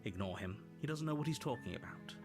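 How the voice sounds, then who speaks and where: An actor voicing a stern narrating voice in a game, measured and commanding. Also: male voice, mid-range voice, lively intonation, over music, natural voice. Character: stern male narrator voice guiding the hero.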